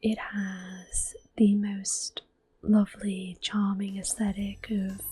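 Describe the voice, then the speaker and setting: A woman giving soft, breathy, mostly whispered ASMR commentary on a video game, gentle and close to the microphone. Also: medium pitch, level tone, takes it slowly. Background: soft game music.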